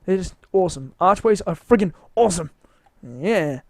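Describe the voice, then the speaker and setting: Tired man in a dry booth, high voice, hesitant rambling tour of his build, pokes fun at himself. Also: expressive, moderate pace, a little mellow, no echo. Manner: rambling, hesitant, self-mocking. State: tired, voice wearing out. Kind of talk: casual rambling walkthrough commentary.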